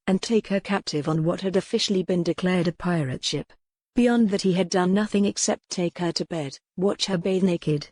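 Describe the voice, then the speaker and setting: A woman's voice: on the low side, a little mellow, husky, no room sound, studio quality. A woman reading historical romance fiction as a scripted audiobook narration.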